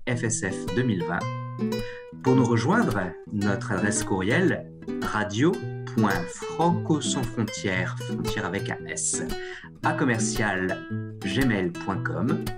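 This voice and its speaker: A man hosting a radio program, reading a formal, measured script to listeners. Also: on the low side. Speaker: radio show host.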